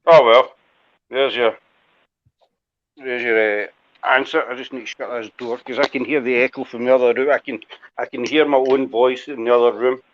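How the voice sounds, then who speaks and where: A man's voice: medium pitch, steady tone, moderate pace. A Scottish man hosts a loose, rambling chat in a mid-range voice, poking fun at himself as he goes. The audio is dull and lo-fi. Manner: chatty, rambling, self-deprecating. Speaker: host. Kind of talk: casual live chat.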